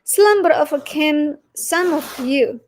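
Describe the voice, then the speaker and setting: A woman with an Indonesian accent presenting a religious lecture, speaking haltingly and emphatically in challenging rhetorical questions. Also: high voice, animated. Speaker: presenter of a religious teaching series.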